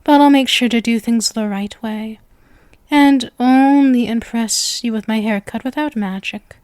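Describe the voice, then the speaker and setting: A woman's voice: a little high, measured pace, darkish tone, clean tone, dry recording, clean studio sound, close to an everyday voice. A woman voicing a sweet, lovestruck, clingy witch girlfriend in an ASMR roleplay, speaking to the listener in a coy, nervous, gushing and intimate manner. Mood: overjoyed, adoring. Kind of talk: ASMR roleplay, scripted acting addressed to listener.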